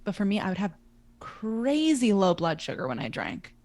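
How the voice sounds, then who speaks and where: A woman's voice: mid-range voice, wildly swooping pitch, normal speed, darkish tone, dry recording. A woman in her twenties co-hosting a podcast, chatting casually with frequent laughs and fillers. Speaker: podcast co-host. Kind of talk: casual chat.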